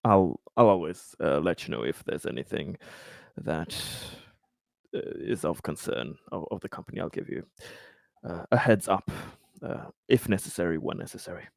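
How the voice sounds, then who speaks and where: A man's voice: low voice, lively intonation, creaky, no echo, studio quality. A man playing a stressed, paranoid corporate director in a tabletop roleplay, stammering and swearing. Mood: cautiously hopeful. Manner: halting, stammering, sweary, weary sighs. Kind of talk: improvised tabletop roleplay dialogue.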